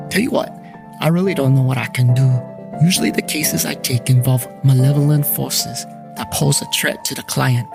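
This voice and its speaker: A man acting a wisecracking island ex-cop turned paranormal investigator, with a medium-pitched voice. He narrates his audio drama in dry, slangy, self-mocking noir-comedy style, with soft guitar behind him. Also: gravelly, lightly acted. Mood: casual, skeptical. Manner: wry, colloquial, self-mocking. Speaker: narrator and lead character. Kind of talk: scripted first-person noir-comedy narration. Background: guitar music.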